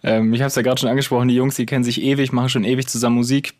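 A man with an average-pitched voice co-hosts a podcast. He presents casually and conversationally, in everyday spoken language. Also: level tone, very fast, a little mellow. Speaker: podcast co-host.

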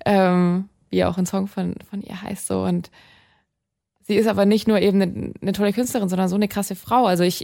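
Guest singer, young woman, deep voice, quick and chatty, plenty of fillers. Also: gravelly, warm tone. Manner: fast, chatty, many fillers and restarts. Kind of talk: casual interview chat.

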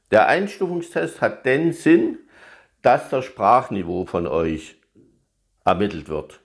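A man working as a study-abroad advisor, hosting an advice podcast and explaining things in a chatty way, speaking directly to students. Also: mid-range voice.

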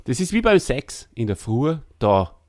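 A man's voice: mid-range voice, big pitch swings, unhurried, darkish tone, no room sound, studio quality. A man with an Austrian German accent co-hosting a casual podcast, rambling and joking in a mock-solemn way. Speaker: podcast co-host.

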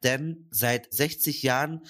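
A man co-hosting a podcast, with a cold, introducing the episode in a casual, lively way. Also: medium pitch, takes it slowly. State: has a cold. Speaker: podcast co-host.

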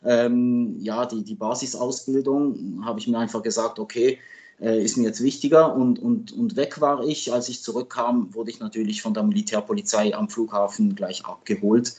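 A man's voice: mid-range voice, steady tone, moderate pace, darkish tone. Hesitant Swiss man in his fifties, native French speaker. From Switzerland. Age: 50s. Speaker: sports journalist and guest expert. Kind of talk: conversational interview answers.

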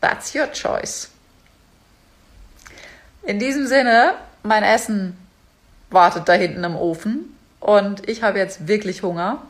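A woman's voice: medium pitch, takes it slowly, pauses often. A woman giving a casual motivational talk straight to her viewers, chatty and direct. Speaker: life coach vlogger.

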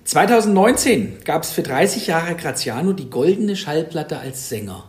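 A man's voice: on the high side, very animated, normal speed. Chatty male host in a roomy space. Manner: chatty, rephrases questions. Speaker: interview host. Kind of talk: friendly interview questions.

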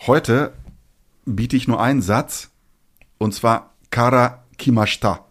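A man's voice: medium pitch, slow pace. A middle-aged man hosting a casual podcast, cracking ironic jokes and explaining things like a teacher. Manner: joking, ironic asides. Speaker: podcast host. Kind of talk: casual chat.

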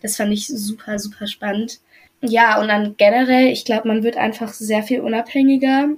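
A woman's voice: a little high, flat delivery, normal speed. A young woman from Germany in her twenties, chatting in an interview about her exchange year, with many fillers and restarts. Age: 20s. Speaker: returning exchange student. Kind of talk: casual interview.

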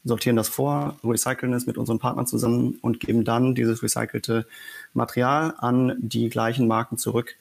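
A man's voice: mid-range voice, little pitch movement, normal speed, vocal fry. A man, a startup co-founder from Germany, talking fluently as a podcast guest and explaining in detail with occasional fillers.

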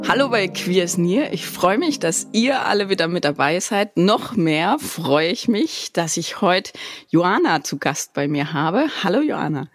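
Slow-talking woman hosting a podcast. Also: lowish voice, expressive, faint music behind. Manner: friendly, hesitant with frequent fillers. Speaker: podcast host. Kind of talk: casual interview.

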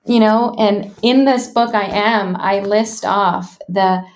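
A woman's voice: mid-range voice, steady tone, takes it slowly. A woman from Canada, a life coach, talking candidly and thoughtfully about her own healing, with frequent "like" and "you know.". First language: English. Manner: frank, thoughtful, many "like" and "you know" fillers. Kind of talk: reflective personal storytelling.

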